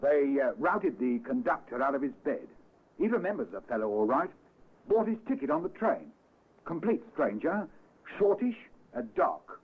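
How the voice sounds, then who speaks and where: An actor playing an eager, deferential police sergeant who reports in clipped phrases in an old radio drama. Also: male voice, medium pitch, very animated, moderate pace, slightly rough. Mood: brisk, reporting. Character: eager, deferential male police sergeant, pleased with his findings. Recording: muffled, lo-fi old radio recording.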